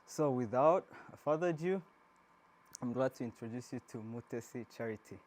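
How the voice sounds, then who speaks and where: A man's voice: mid-range voice, normal speed, darkish tone. A man from Rwanda hosting an interview, asking loose, rambling questions with frequent restarts. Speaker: interview host. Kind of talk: casual interview.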